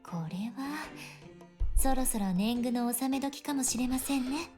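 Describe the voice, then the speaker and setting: A woman plays a composed mother in an anime-style game. She is formally polite and deadpan, teasing with a quiet menace, as music plays behind her. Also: high-pitched, fairly quick, close to an everyday voice. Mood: wry, resigned.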